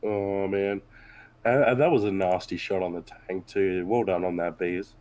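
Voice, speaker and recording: A man's voice: sits low, fairly even intonation, measured pace, darkish tone. A man who is sick and losing his voice, talking hesitantly with many fillers in a post-game discussion of a tabletop match.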